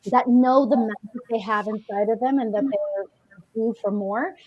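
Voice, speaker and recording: Woman w colombian roots, kinda high voice, animated, chatting about her life as a podcast guest. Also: normal speed, lo-fi sound. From Colombian household. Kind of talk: conversational personal storytelling.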